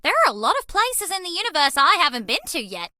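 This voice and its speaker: A woman's voice: high voice, big pitch swings, quick pace, pushed and bright, no room sound, clean studio sound, full character voice. A woman voicing a bubbly, cheese-obsessed young alien rodent space traveler in a video game, with a perky, theatrical delivery. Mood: playful, dramatic. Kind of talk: animated game character voice lines.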